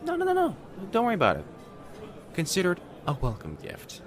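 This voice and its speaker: Man acting as a lively-pitched friendly young fox student. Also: a faint crowd. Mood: playful, welcoming. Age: young adult.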